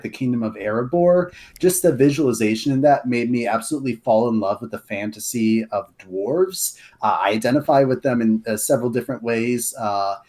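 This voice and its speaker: Deep-voiced young man, eager storyteller. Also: darkish tone. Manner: eager, storytelling with many restarts and fillers. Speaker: guest, lore writer. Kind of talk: casual podcast chat.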